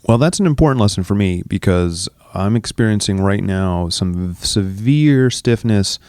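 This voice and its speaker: A man's voice: lowish voice, expressive, moderate pace, a little mellow, no room sound, studio quality. A man co-hosting a running podcast, chatting in a teasing, rambling way. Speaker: podcast co-host. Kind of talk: casual chat. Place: studio.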